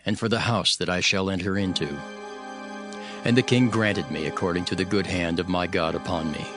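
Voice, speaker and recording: A man giving a dramatic scripted narration of scripture, solemn and declamatory. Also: lowish voice. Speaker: Bible narrator, voicing Nehemiah. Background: music.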